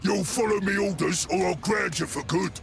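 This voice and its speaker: A man playing a gruff, bossy ork squadron leader in a video game, with a growling, gravelly Cockney English voice. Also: high voice, normal speed, soft background music. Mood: stern, threatening. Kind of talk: video game character acting.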